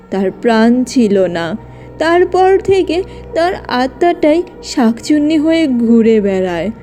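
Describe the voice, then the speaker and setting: A woman's voice: a little high, warm tone, voice pushed down. A woman voicing a kindly, protective old grandmother in a cartoon, grave and reassuring as she tells stories. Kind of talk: children's cartoon acting.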